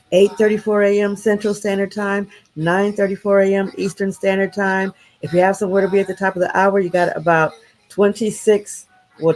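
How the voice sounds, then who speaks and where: A woman's voice: mid-range voice, a little mellow, rough recording. A woman with a stuffy nose hosting a morning motivation live stream over a choppy internet connection, calling listeners to wake up. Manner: repeats rousing wake-up calls. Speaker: morning show host. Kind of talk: upbeat live-stream pep talk. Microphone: live-stream over internet with a poor connection.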